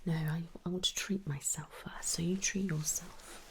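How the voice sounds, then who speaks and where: A British woman speaking softly and mostly in whispers as a gentle, reassuring doctor in an ASMR medical role-play. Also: on the low side, fairly even intonation, normal speed. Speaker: ASMR performer playing a doctor.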